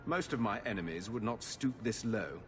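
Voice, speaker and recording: An actor playing a shrewd, haughty old nobleman in a video game cutscene, measured and articulate. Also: on the low side, lively intonation, soft background music, natural voice. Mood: haughty, indignant.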